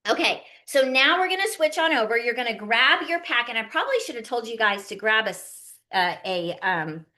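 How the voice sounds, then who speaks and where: A woman's voice: a little high, animated, a little fast, pushed and bright. A woman giving a casual skincare demonstration, chatty and folksy, often checking in with her listeners. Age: early 50s. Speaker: skincare consultant hosting a live online demonstration. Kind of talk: casual instructional chat, product demo.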